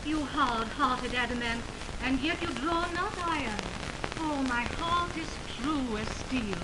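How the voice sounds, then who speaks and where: A woman's voice: sits high, a little slow. An actress playing a lovesick, pleading young woman in declaimed 1920s radio Shakespeare. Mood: pleading, adoring. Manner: declaimed classical stage delivery. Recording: noisy, hissing 1929 radio aircheck.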